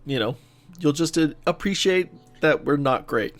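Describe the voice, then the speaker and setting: A man's voice: fairly high pitch, expressive, moderate pace. A man co-hosting a podcast, chatting casually in an informal, easygoing manner. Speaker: podcast co-host. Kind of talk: casual chat. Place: home office. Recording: home office recording, music underneath. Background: underlying background music.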